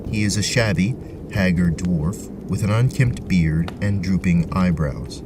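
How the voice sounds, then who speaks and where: A man narrating a scripted tabletop fantasy adventure in a deliberate, theatrical way. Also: sits low, background noise. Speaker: narrator and game host.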